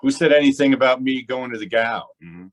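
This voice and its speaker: A man's voice: medium pitch, lively intonation, a little fast, close to an everyday voice. Man playing a brash, cocky gangster boss. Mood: defiant. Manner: brash slangy tough-guy talk. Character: cocky, stubborn male racketeer boss. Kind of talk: old time radio gangster acting.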